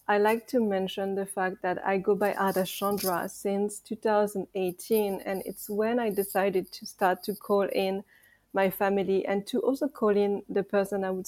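A woman in her thirties with a French accent, speaking as a podcast guest in a reflective, earnest way. She sometimes pauses to search for the right word. Her voice sits mid-pitch and is recorded dry and close. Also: a little mellow, no room sound. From France. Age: 30s. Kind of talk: casual conversational interview.

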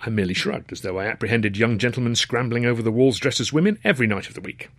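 A man with an English accent reading a first-person story in a wry, dryly humorous way, slightly husky. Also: medium pitch, animated, fast talker, fairly bright tone, dry recording. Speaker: author reading his own novel.

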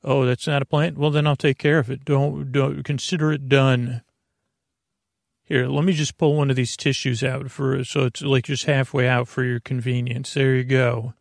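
A man hosting a bedtime podcast in a creaky, gravelly voice, gently meandering through tangents and halting self-corrections to reassure the listener. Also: medium pitch, flat delivery, no echo, studio quality. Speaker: podcast host. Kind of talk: rambling, unscripted bedtime podcast monologue.

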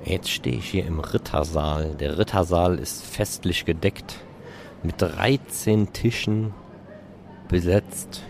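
A man's voice: low voice, darkish tone. A man presenting and reporting as the host of a fan podcast. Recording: noisy, ambient background sound.

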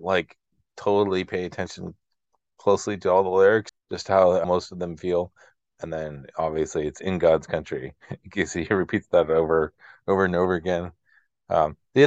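A man's voice: deep voice, dry recording. A man co-hosting a casual music chat, speaking in a reflective, hesitant way peppered with filler words. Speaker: co-host of a music discussion show.

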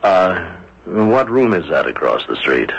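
An actor playing a wary, grumbling police inspector in a radio mystery, hesitant and questioning. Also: male voice, low-pitched, big pitch swings, unhurried, gravelly, husky, full character voice. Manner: hesitant, questioning, with many uh's and exclamations. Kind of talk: radio mystery drama acting. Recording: dull, noisy old radio broadcast.

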